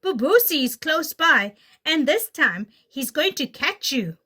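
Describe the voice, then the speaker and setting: Lady putting on a cheeky teasing monkey from a kids book, giggly, squeaky high. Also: sing-song, measured pace, bright, forceful tone, exaggerated voice. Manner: tittering, taunting.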